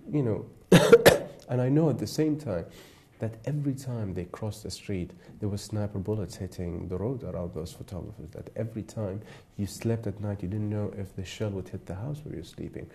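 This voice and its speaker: Low, dark-voiced man from Iraq. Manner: thoughtful, halting, many fillers and restarts. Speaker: photographer and jury member. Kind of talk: reflective interview answers.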